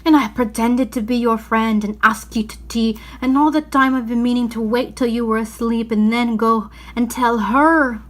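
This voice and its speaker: A woman's voice: a little high, fairly even intonation, moderate pace, few pauses, lightly acted. A woman voicing an anxious, remorseful male faun from a storybook, pleading and confessing dramatically. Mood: remorseful, distressed.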